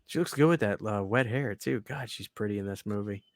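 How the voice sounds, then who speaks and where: Excitable swearing man in his thirties. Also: a little fast, no room sound. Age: 30s. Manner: excitable, swears a lot, drops to low mutters. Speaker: podcast co-host. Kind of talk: casual chat.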